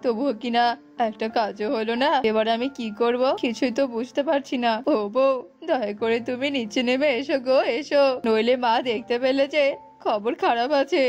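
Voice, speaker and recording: A fussy young cartoon husband, acted by a woman, with a fairly high voice. He exclaims, pleads and repeats himself in an easily bewildered way. Light music plays behind him. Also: moderate pace, a little mellow, highs cut off, close to an everyday voice. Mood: bewildered, exasperated. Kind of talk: Bengali cartoon voice acting.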